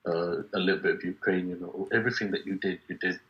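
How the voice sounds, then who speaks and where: A man's voice: sits low, steady tone, fast talker. A man whose first language is Bengali, hosting an interview and rambling with lots of "you know" and "uh". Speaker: podcast host, interviewer. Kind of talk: casual interview chat. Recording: dull lo-fi sound.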